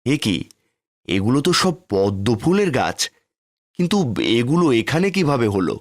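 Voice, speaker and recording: Guy playing a kind village dad in a cartoon, normal mid voice, gentle and reassuring. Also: mid-range voice, sing-song, normal speed. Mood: surprised, kindly. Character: kindly, hardworking village milkman father. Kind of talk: cartoon fairy tale dialogue.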